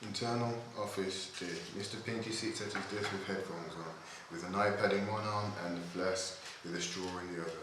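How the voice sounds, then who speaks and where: Casting: male narrator for stage directions, lowish pitch, table read captured in a highly reverberant, noisy space. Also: fairly even intonation, fairly quick, few pauses. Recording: very echoey room, distant mic, noisy.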